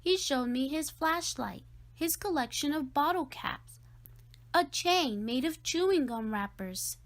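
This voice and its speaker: Slow, high-voiced woman reading a storybook. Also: expressive. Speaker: storybook reader. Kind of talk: scripted children's read-aloud narration.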